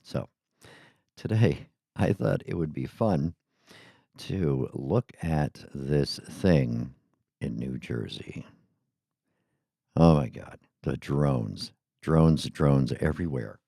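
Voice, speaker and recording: A man's voice: a bass voice, expressive, slow pace, creaky, long pauses, mellow, no room sound. A middle-aged man hosting his podcast, chatting casually and drifting off onto tangents in a small home studio. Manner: rambling, chatty, goes off on tangents. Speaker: podcast host. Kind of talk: casual rambling monologue. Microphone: studio microphone.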